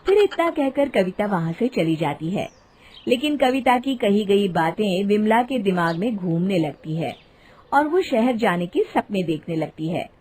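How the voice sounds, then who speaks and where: A woman narrating an animated moral story as a scripted storyteller. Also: mid-range voice, a little slow.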